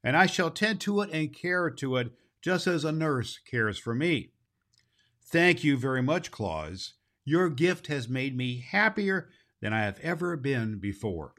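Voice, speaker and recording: A man's voice: a little high, very animated, a little slow, a bit bright, heavily acted. A man voicing a delighted, affectionate little girl in a storybook, polite and earnest in manner. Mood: fond, delighted.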